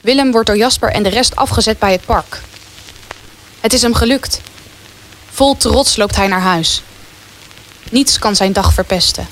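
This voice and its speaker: A woman's voice: fairly high pitch, measured pace, long pauses, slight background noise. A woman reading scripted narration for a radio play.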